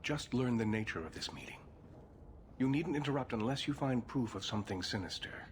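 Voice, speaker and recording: An actor playing a grave elder male mage leader in a video game, speaking in a formal, careful, measured way. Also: medium pitch, lively intonation, a little hiss or hum, lightly acted. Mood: worried, measured. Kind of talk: video game cutscene acting.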